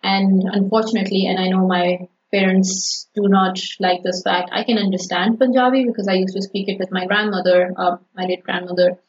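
A woman from Pakistan whose first language is Urdu, chatting casually as a podcast guest and pausing often with fillers and restarts. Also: mid-range voice, flat delivery. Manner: hesitant, many fillers and restarts. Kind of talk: casual conversation. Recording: dull lo-fi podcast audio.

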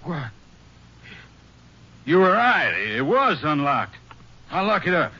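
An animated folksy farmer husband, old radio. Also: male voice, on the high side, takes it slowly, exaggerated voice. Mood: reassuring, impatient. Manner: folksy rural phrasing. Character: sleepy Texas farmer husband, dismissive and soothing. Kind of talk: 1950s radio drama acting. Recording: dull hissy old radio recording.

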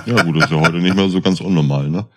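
A man's voice: deep voice, fast talker, a bit bright. A man bantering casually as a podcast co-host, playful and slangy.

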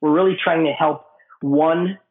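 A man with a cold, his voice raspy and hoarse, answering interview questions at length with many restarts. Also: fairly high pitch, fairly even intonation, unhurried. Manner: explanatory, with frequent restarts and fillers. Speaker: guest, business owner. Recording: tinny phone-like line in an echoey room.

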